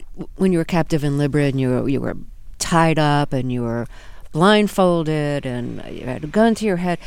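A man hosting a radio interview, introducing his guest and asking measured, clear questions. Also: a little high, a little mellow, no echo. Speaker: radio host.

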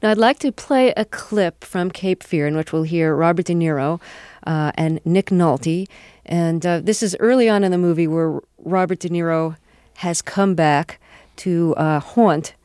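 A woman interviewer asking measured, clear questions in a radio interview. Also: on the low side, a little mellow, no echo, clean studio sound.

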